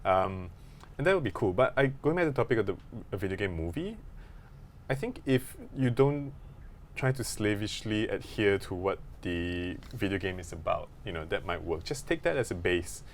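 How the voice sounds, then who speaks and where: A man's voice: fairly low pitch, animated, moderate pace. A man co-hosting a podcast, thinking aloud in casual discussion, with faint noise in the background. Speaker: podcast co-host.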